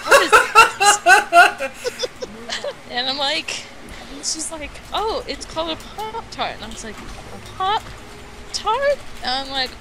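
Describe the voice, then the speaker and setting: A high voice over a clearly noisy internet call, spinning a casual tall tale. The delivery is playful and halting, doubling back in mid-sentence as the rambling story goes on. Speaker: guest storyteller on a gaming voice call. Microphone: Skype call.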